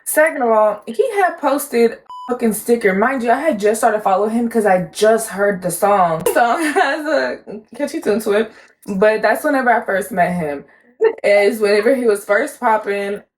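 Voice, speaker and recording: A young woman from Puerto Rico co-hosts a casual chat. She tells stories chattily, rushing through parts in quick bursts and swearing offhandedly. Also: a little high. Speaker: podcast co-host.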